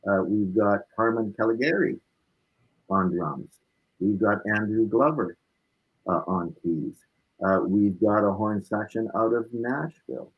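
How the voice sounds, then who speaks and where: A man with a fairly low, husky voice speaks as an interview guest. His talk is casual and reflective. He is emphatic and circles back to repeat his key points. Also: unhurried, dull audio.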